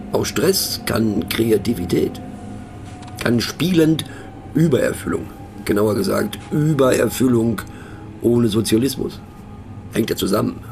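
A man's voice: fairly low pitch, creaky, a little mellow, slight background noise. An actor playing a middle-aged male narrator in a radio play monologue, musing and mocking, thoughtful and hesitant, often turning to question the listener. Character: musing, mocking male narrator, rambling to fellow train passengers.

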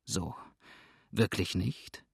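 A man's voice: mid-range voice, a little slow, no room sound, studio quality, close to an everyday voice. A man voicing a hunted, ruthless outlaw in an audiobook, grim and threatening, with a sneering edge. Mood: sneering threat. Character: hunted, ruthless male fugitive outlaw, exhausted and menacing. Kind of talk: audiobook character acting.

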